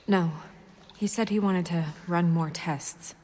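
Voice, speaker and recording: A woman playing a composed but uneasy young official in a video game, speaking with restraint and precision in a soft, husky voice. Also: fairly low pitch, steady tone, slow, a little hiss or hum. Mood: analytical, troubled. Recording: long hall reverb.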